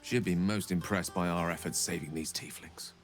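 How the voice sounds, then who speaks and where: An actor playing a wistful, scholarly and self-deprecating wizard in a video game, speaking in florid, theatrical phrases. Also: male voice, low-pitched, fairly even intonation, a little fast, faint music behind. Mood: confessional, rueful. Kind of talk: video game character dialogue.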